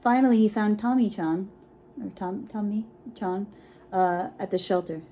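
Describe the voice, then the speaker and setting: A woman reading a picture book aloud to children in an expressive, encouraging way, with chatty asides and frequent check-in questions. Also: medium pitch, measured pace, highs cut off. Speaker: children's storytime reader.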